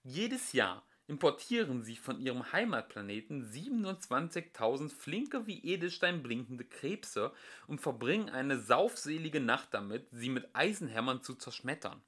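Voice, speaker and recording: A young man reading a comic science fiction novel aloud as an amateur home audiobook, with a dry, expressive storytelling manner. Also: medium pitch, normal speed. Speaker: audiobook reader. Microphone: home recording.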